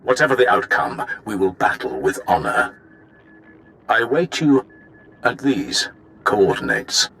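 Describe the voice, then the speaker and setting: An actor playing a solemn, honor-bound Sith lord in a video game, speaking in a measured, formal, menacing way. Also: male voice, on the low side, very animated, creaky, bright, forceful tone, exaggerated voice. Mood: grave challenge. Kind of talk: dramatic video game acting.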